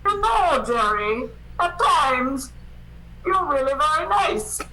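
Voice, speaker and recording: High, bright ingenue-style woman. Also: sing-song, slow, slight background noise, low-quality recording. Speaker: cast member in a comedic radio play reading.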